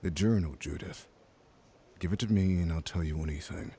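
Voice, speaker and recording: Smooth immortal villain man in a noir game, really low voice, polite but menacing. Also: normal speed, warm tone. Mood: coolly insistent. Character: suave, menacing immortal man bargaining for a journal. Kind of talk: voice acting in a noir detective game.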